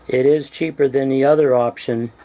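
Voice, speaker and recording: A man presenting a casual hands-on tutorial as a hobbyist, speaking in a halting, deliberate, plain-spoken way. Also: medium pitch, steady tone, mellow, lo-fi sound. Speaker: hobbyist presenter demonstrating radio gear.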